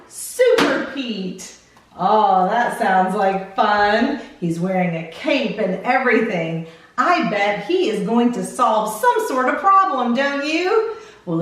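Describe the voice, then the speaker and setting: A woman's voice: a little high, a bit bright. A woman hosting a children's read-aloud show, chatting playfully and directly to young viewers. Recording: very echoey room, distant from mic.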